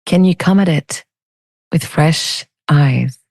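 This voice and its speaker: A woman leading a guided meditation as a teacher, speaking in a soothing, unhurried, gently inviting way. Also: low voice, dry recording, studio quality.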